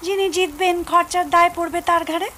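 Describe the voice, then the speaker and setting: Radio play: young female moderator, daughter-in-law, formal announcing, high-pitched and bright. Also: fairly even intonation, quick pace, slight background noise. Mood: questioning. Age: young adult. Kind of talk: Bengali audio drama dialogue.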